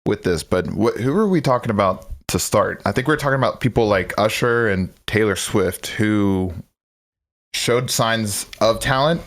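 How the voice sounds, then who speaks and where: Man, podcast co-host, low voice, eager and rambling, casual talk. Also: normal speed, a bit bright. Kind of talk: casual chat.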